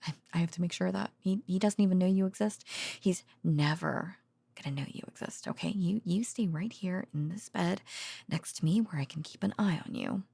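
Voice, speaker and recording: A woman playing a tender, worn-out researcher girlfriend in an intimate bedtime audio roleplay, speaking haltingly and drifting into whispers. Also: lowish voice, gravelly, mellow, breathy edge. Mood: fond, protective worry.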